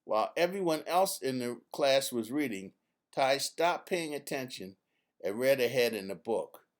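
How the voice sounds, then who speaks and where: A man reading his own children's book aloud as an expressive, emphatic storyteller. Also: medium pitch, unhurried.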